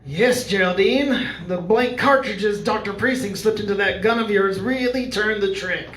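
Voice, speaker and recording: A performer playing a vengeful man who poses as his dead brother, accusing and shouting slowly in a live radio drama. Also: mid-range voice, slight background noise, lightly acted. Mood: gloating. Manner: accusing, shouting, slow deliberate. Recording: roomy live room sound.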